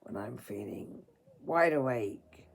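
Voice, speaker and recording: A tired woman with a hoarse, dry throat, speaking to listeners in a halting, politely formal way as a storyteller. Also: extremely low pitch, expressive, a little hiss or hum. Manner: halting, apologetic, formal wording. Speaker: storyteller reading aloud and singing. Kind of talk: informal apologetic talk to listeners.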